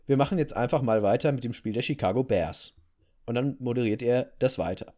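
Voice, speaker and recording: A man's voice: medium pitch, a little fast, mellow. A man hosting a talk show, telling a story in a casual, conversational way and repeating phrases for emphasis. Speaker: podcast host telling a story.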